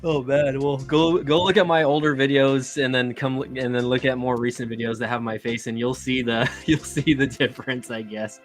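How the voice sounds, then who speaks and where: Relaxed man in his late thirties. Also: mid-range voice, normal speed, few pauses, faint music behind. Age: 30s. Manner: relaxed, lots of fillers, laughs often. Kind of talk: casual podcast chat.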